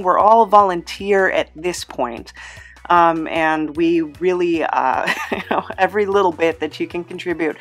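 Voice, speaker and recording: A woman hosting a podcast, speaking casually and informally to listeners in a closing segment. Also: fairly low pitch. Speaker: podcast host.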